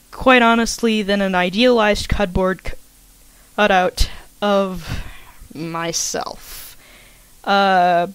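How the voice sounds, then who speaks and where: A woman's voice: mid-range voice, no room sound. A young adult woman reading her own casual commentary as an author, chatty and self-deprecating, now and then stumbling over her words. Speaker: fan fiction author. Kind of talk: casual scripted personal commentary, read aloud.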